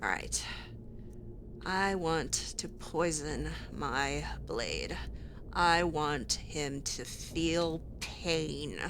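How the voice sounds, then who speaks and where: A woman's voice: deep voice, lively intonation, very slow, noisy background. A woman giving in-character commentary as she plays a video game, with wry, exasperated asides. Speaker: gaming video host playing in character.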